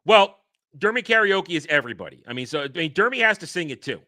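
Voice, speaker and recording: A man hosting a casual livestream, rambling and excitable, restarting his sentences often. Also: a little high, fast talker, dry recording, studio quality. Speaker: livestream host.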